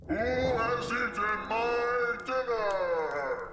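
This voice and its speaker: Big hungry man-eating giant, drags every word way out, huge echo, music behind. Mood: menacing, hungry. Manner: slow, booming, drawn-out delivery. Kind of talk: audio drama acting. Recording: very echoey hall reverb, music behind.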